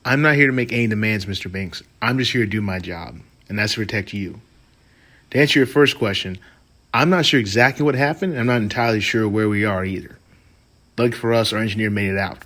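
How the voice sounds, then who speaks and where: A man playing a calm, professional mercenary bodyguard in a sci-fi audio drama, speaking in a measured, matter-of-fact way. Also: on the low side, natural voice. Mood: calm, firm.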